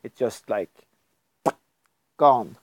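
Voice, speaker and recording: A man's voice: mid-range voice, expressive, drawn-out words, no echo, highs cut off. A man from Norway, chatting casually on a live stream at his computer, rambling and chuckling often. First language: Norwegian. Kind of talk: casual livestream chat.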